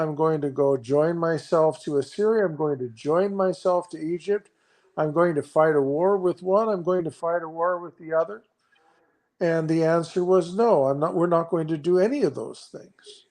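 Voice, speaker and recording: A man explaining scripture in conversation, earnest and halting, often restarting his sentences. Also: on the high side, a little mellow. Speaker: guest.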